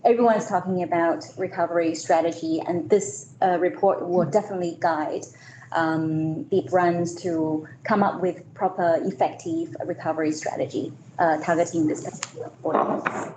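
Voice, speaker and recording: A woman's voice: lowish voice, fairly even intonation, normal speed, darkish tone. A woman from China and Malaysia giving an informal business presentation to a meeting. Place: meeting room, hybrid event. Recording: echoey room, faint noise.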